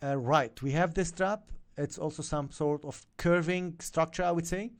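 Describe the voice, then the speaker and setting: A man's voice: fairly high pitch, moderate pace. A man whose first language is Arabic, teaching a live-stream trading lesson with lots of energy and checking in with viewers as he goes. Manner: energetic, repeats "okay?" and "yeah?" to viewers. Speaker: trading coach.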